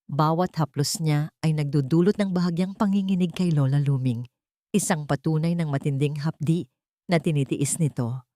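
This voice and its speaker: Female audio drama narrator, low register, solemn scripted storytelling, dry sound. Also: moderate pace, warm tone, no echo.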